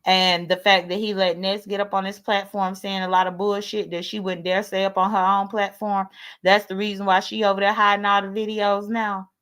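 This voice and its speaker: A woman's voice: mid-range voice, little pitch movement, normal speed, fairly bright tone. A woman in her late thirties with an American accent, chatting casually on a livestream in a blunt, sassy way and swearing freely. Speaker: livestream host. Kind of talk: casual livestream chat, airing grievances.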